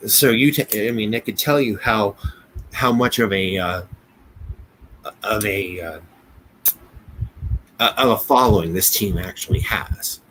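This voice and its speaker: A man with an American accent in a casual business discussion, speaking haltingly, often repeating words and restarting. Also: on the low side, a bit bright, poor audio. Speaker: business podcast co-host. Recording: noticeable background noise.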